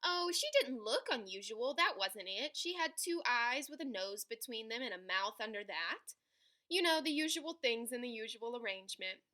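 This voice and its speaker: Woman doing storytime read aloud, highish bright voice, really expressive, draws out the big words. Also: normal speed. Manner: animated, dramatic, stretches key words for emphasis. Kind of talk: expressive children's picture book reading.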